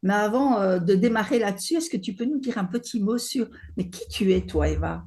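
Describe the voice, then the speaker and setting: A woman's voice: medium pitch, lively intonation, darkish tone. Woman hosting an interview podcast. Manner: measured, guiding questions. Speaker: podcast host.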